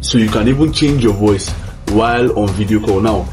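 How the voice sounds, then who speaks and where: Male tutorial host, lower-pitched, informal explainer delivery with frequent check-ins, music underneath. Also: moderate pace. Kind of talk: casual software tutorial. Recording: music behind the voice. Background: background music.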